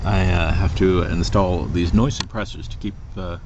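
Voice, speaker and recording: A man giving an informal hands-on tutorial as a DIY presenter, explaining step by step in a halting way. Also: low-pitched, fairly even intonation. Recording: dull lo-fi camera audio, loud noise.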